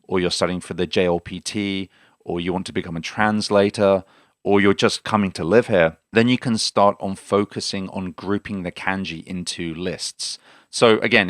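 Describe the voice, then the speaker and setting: A man's voice: low voice, no echo, studio quality. A man co-hosting a language-learning podcast, explaining study tips in a casual, step-by-step way. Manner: explains tips in plain, friendly steps. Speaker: podcast co-host, Japanese learner. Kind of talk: casual teaching chat.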